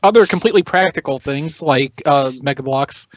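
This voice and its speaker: A man's voice: on the high side, normal speed, no room sound. A man co-hosting a podcast with casual banter, over a dull lo-fi connection. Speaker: podcast co-host.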